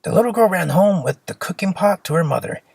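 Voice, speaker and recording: A storyteller reading a children's fairy tale aloud in an expressive, simple storybook manner. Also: medium pitch, fairly quick, gravelly, breathy edge, no echo.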